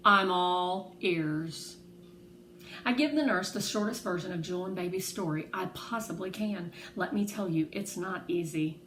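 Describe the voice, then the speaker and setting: A woman reading a children's novel aloud in an expressive, animated way, acting out the dialogue. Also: fairly low pitch, brisk, hardly any pauses. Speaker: read-aloud narrator. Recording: roomy echo, faint background noise.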